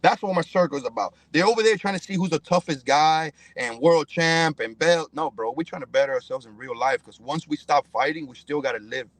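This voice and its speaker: A man's voice: fairly high pitch, expressive, a little fast, few pauses, no room sound. A young man from Cuba talking casually as a fighter in an interview, emphatic and profane, with slangy repeated check-ins. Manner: emphatic, slangy, punctuated with "bro" and "you know what I'm saying". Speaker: professional fighter, podcast guest.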